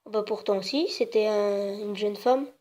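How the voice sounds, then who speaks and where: A woman's voice: mid-range voice, a little slow, a little mellow. A woman making a prank phone call as a customer, hesitant and meekly insistent in her manner. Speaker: prank caller posing as a customer. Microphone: telephone. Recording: dull, band-limited phone line.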